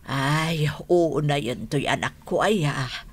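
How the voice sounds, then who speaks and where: A woman playing a gentle, frail elderly mother in a radio drama, with an Ilocano accent. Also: sits low, slow pace. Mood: tender.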